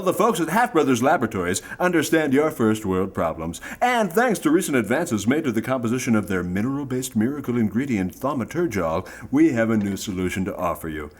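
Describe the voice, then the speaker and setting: A man playing a slick, grandiose old-time radio sponsor announcer reading a theatrical parody ad. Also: mid-range voice. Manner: theatrical, salesmanlike, mock-earnest.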